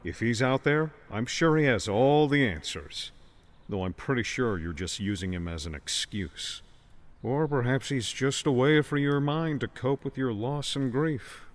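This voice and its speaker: A man's voice: medium pitch, lively intonation, measured pace, pitched above the performer's own voice, natural voice. A man voicing a bitter, accusing mob leader with a menacing manner in an audiobook. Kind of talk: audiobook character dialogue. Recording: faint background music.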